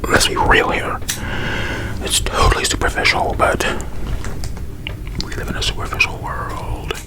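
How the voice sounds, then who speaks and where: A man's voice: unhurried, whispered. A man vlogging to his camera in a rambling, self-mocking personal rant, full of "you know what I mean".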